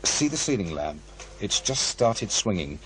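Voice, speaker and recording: An actor playing a pushy, ambitious radio program maker, insistent and persuasive, in a radio drama. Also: male voice, lowish voice, big pitch swings, unhurried, fairly bright tone, a little hiss or hum, rough recording. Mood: eager, persuasive. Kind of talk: naturalistic radio drama dialogue.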